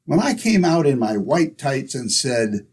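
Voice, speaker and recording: A man's voice: mid-range voice, sing-song, unhurried, darkish tone, husky. A man playing a pompous neighbor who fancies himself a Shakespearean actor, declaiming theatrically in old-time radio comedy. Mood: indignant, pompous.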